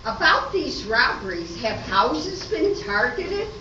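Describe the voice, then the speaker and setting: A chatty, theatrical comedy lady acted by a woman in an amateur radio play. Her delivery is high, slow and very animated, heard at a distance in an echoey room. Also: full character voice. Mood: dramatic. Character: dramatic, chatty women: fussy diner, gossipy witness. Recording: noisy echoey room, distant muffled mic.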